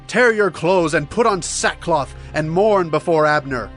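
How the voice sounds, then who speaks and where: High-pitched, solemn, stern warrior king. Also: lively intonation, measured pace, fairly bright tone. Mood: mournful. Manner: declamatory, solemn, emphatic delivery. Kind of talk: dramatized scripture acting. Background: music.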